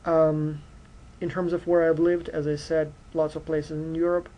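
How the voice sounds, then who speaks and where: A man's voice: a little high, little pitch movement, normal speed, dark tone, low-quality recording. Ukrainian-accented male lecturer, earnest and direct. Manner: earnest, direct, frequent fillers like uh and you know. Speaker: university lecturer. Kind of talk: recorded course introduction lecture.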